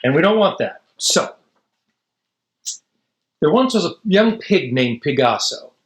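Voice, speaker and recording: A man's voice: on the high side, sing-song, unhurried. Man reading a picture book, echoey room. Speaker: storybook reader. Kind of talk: read-aloud storytelling of a children's picture book with casual asides.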